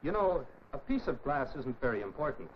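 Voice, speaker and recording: An actor playing a firm, fair-minded middle-aged American mentor in a 1950s educational film, with a measured, lecturing manner. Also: male voice, medium pitch, big pitch swings, natural voice. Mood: stern, lecturing. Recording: muffled, noisy old 1950s film soundtrack.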